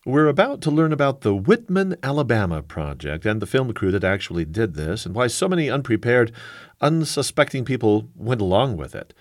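A man hosting a radio show, reading a scripted introduction in an animated, questioning way before interviewing his guest. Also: mid-range voice, a little fast, dry recording, clean studio sound.

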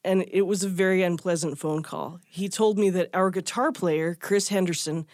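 A woman's voice: on the low side, fairly even intonation, moderate pace, darkish tone, dry recording. A woman speaking as a guest musician and teacher, telling a personal anecdote in a casual, chatty, self-deprecating way. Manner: chatty, self-deprecating, breaks into small laughs. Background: guitar music.

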